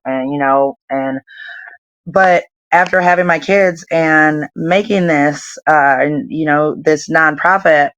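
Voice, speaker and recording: A woman's voice: low-pitched, slow pace, fairly bright tone, no echo, dull audio. A woman around forty, a nonprofit founder and interview guest, talking in a rambling way full of fillers. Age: 40s. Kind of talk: casual interview chat.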